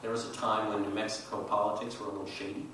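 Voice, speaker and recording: Older male panel historian, measured and halting, low-pitched, heard at a distance with faint noise. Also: level tone, a bit bright. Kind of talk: storytelling panel talk to an audience. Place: large auditorium stage. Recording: roomy echoey hall, distant mic, faint noise.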